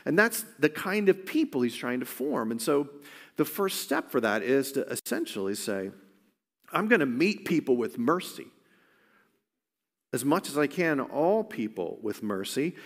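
A man's voice: medium pitch, very animated, measured pace, big-room sound. A man preaching a sermon in an earnest, conversational way, often restarting his phrases. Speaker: pastor.

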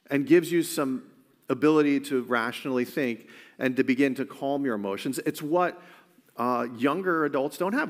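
A man's voice: medium pitch, big-room sound. A man preaching a sermon in an earnest, conversational way, often restarting his phrases. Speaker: pastor.

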